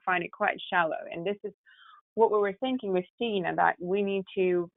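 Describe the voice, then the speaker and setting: A woman's voice: medium pitch, steady tone, a little fast, gravelly, dry recording. A woman with a Russian accent, telling her story as a company founder in a conversational interview, over a muffled, narrow recording. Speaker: tech company founder, podcast guest. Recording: muffled narrow phone-like sound.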